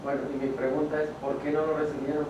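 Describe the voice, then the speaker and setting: A man taking part in a Bible study, asking spontaneous questions in a halting way, searching for his words. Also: a little high, little pitch movement, a little mellow. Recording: noisy, muffled, echoey, far from mic.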